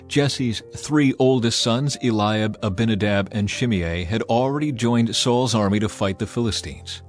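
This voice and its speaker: A man narrating scripture in a measured, dramatic reading. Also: fairly low pitch. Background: faint music.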